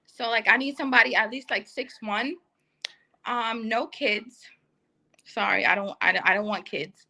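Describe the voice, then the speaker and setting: A woman's voice: a little high, measured pace, vocal fry, bright, forceful tone. A Trinidad-born woman in her thirties on a video call, answering a matchmaker's questions with some hesitant ums. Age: 30s. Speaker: guest caller on a matchmaking show.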